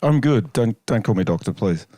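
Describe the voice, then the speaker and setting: An Australian-accented man answering interview questions casually, with measured, hesitant phrasing and dry humour. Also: lowish voice, animated, dark tone, no echo. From Melbourne, Australia. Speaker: interview guest, chiropractor and researcher.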